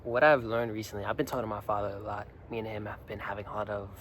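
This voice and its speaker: A young man in casual talk to the camera, slightly hoarse from singing the night before, rambling earnestly and repeating his words. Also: lowish voice, flat delivery, very fast, a bit bright, a little hiss or hum. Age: young adult. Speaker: vlogger. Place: outdoors, hot and sunny.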